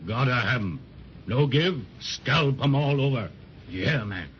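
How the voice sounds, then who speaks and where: Casting: man as stolid, stubborn carved wooden man, slow broken sentences and grunts, old radio play, muffled sound. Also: mid-range voice, lively intonation, close to an everyday voice. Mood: stubborn, demanding. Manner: stilted broken English, grunting hums. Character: gruff, stubborn wooden cigar-store Indian man, monosyllabic. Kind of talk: 1930s children's radio serial acting. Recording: dull, noisy 1930s radio transcription.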